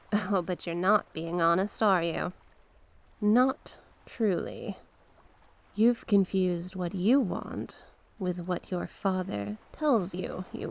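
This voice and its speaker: Slow, low-voiced sly sea witch, acted by a woman. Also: vocal fry. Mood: coaxing, probing. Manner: slow, teasing, deliberate, coaxing with pet names. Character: mysterious banished sea witch, sly, coaxing, knowing mature woman. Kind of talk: ASMR character roleplay monologue.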